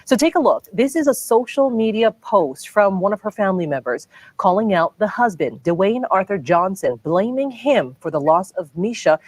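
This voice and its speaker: A woman reading scripted television news in a formal, measured anchor style. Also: mid-range voice, lively intonation, darkish tone, husky, dry recording. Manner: formal, measured newsreader delivery.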